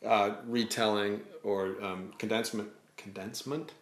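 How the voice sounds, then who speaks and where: Middle-aged man, lower-pitched, casual co-host chatting about family books, echoey living room. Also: steady tone, moderate pace. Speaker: co-host, parent and author. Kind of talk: casual chat recommending children's books. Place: living room couch, indoors. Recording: roomy indoor echo.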